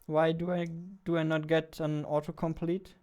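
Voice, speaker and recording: A young man talks casually as he streams his game development work. His voice is fairly high for a man and quite smooth, with little rise or fall in pitch. He thinks aloud haltingly, often half to himself. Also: little pitch movement, darkish tone. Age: 20s. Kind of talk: casual livestream chat while working. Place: indoor room at a computer, keyboard clicks behind.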